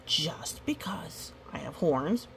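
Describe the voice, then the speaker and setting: A woman's voice: deep voice, lively intonation, slow, creaky, whispered, exaggerated voice. A woman voicing an unhappy, indignant male monster from a picture book, protesting emphatically with hurt and pleading.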